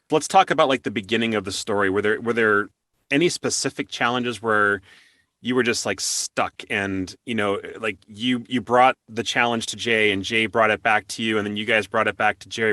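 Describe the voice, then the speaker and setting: A man's voice: lowish voice, sharp tone, dry recording, clean studio sound. A man hosting an interview in a casual, conversational way, wordy and informal as he thinks aloud. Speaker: interview host.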